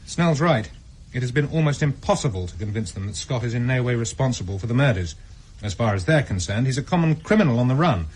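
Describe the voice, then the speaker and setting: An actor playing an assured, loyal intelligence officer in a radio drama, clipped and matter-of-fact. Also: male voice, mid-range voice, lively intonation, quick pace, a little mellow, breathy edge. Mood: brisk, frustrated. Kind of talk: radio drama briefing dialogue.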